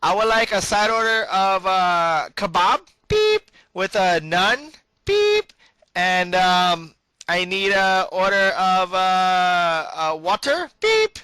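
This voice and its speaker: A man putting on a bumbling prank caller who speaks haltingly in broken sentences with interjected beeps. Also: high voice, sing-song, pushed and bright, clear, resonant tone, no echo, heavily acted. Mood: eager, halting. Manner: halting, broken grammar, interjects the word beep. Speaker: prank caller placing a food order. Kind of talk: improvised prank phone call.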